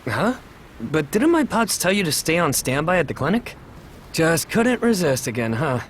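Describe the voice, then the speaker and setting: An actor playing an excitable, informal young man with a boyish way of talking in a video game cutscene. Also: a little high, moderate pace, slight background noise. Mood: teasing.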